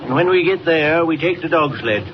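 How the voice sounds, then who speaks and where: An actor playing a folksy, plainspoken old icebreaker captain with rustic speech, in 1940s radio style. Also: male voice, a little high, lively intonation, normal speed, lightly acted. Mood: folksy, wary. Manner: folksy rustic dialect, old-salt talk. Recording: muffled, very noisy 1940 radio recording.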